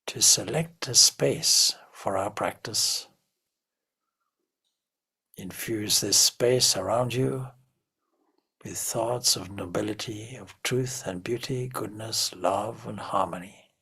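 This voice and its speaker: A man leading a guided meditation as a teacher, giving gentle, measured instructions with long pauses between phrases. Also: on the low side, creaky, slightly rough.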